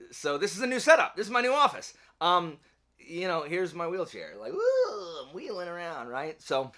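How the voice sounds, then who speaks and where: Man, bright voice, casual vlog host, rambling, wry, self-deprecating, talking to viewers. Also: mid-range voice. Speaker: YouTube book channel host. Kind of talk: casual channel update to camera. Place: indoor home office.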